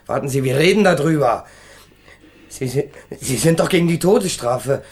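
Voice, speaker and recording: A man's voice: a little high, expressive, normal speed, close to an everyday voice. An actor playing an insolent young petty criminal in a radio crime drama, a cowardly man with a colloquial way of talking. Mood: nervous, alarmed. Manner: cocky, sneering, colloquial.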